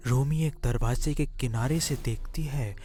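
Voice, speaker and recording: A man's voice: medium pitch, measured pace, mellow. A man reading scripted narration for a cartoon story.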